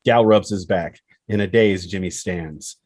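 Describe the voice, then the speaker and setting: A man with a husky voice reading dramatic screenplay stage directions as narrator. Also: low voice, unhurried, a little mellow.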